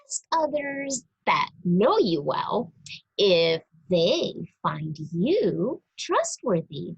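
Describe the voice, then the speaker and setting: A woman reading a religious children's book aloud, teaching deliberately and expressively and speaking directly to young listeners. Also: mid-range voice, sing-song, vocal fry, husky, lo-fi sound. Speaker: storybook reader for a church.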